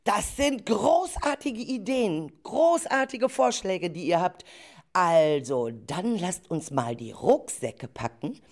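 Children's radio play: enthusiastic female group leader acted by a woman, encouraging, very animated intonation, measured pace. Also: fairly low pitch, heavily acted. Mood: approving. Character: enthusiastic adult woman leading a group of schoolchildren. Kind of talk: amateur school radio play acting.

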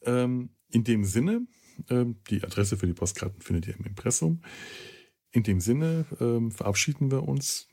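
A man's voice: medium pitch, expressive, moderate pace, vocal fry, mellow, husky. A man hosting a casual podcast, rambling and stumbling over his words. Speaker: podcast host.

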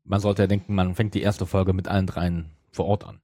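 A man's voice: low-pitched, flat delivery, a little fast, warm tone, dry recording, studio quality. A man in casual podcast chat, musing hesitantly and hedging as a co-host.

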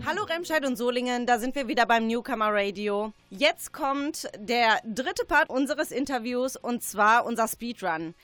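A woman hosting a radio show, presenting and asking quick-fire interview questions. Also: on the high side, animated, a bit bright. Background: music.

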